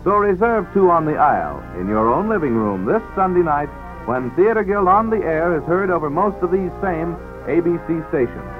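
A man's voice: fairly high pitch, big pitch swings, normal speed. A man announcing a scripted 1940s radio promo in a formal, polished manner. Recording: tinny vintage radio with music.

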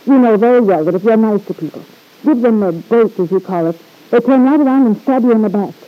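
A woman's voice: on the high side, fast talker, lightly acted. An actress playing a proud, hard-edged young married woman in an old-time radio drama, with cool, sardonic retorts. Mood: hard, dismissive. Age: young adult. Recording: dull, lo-fi vintage radio recording.

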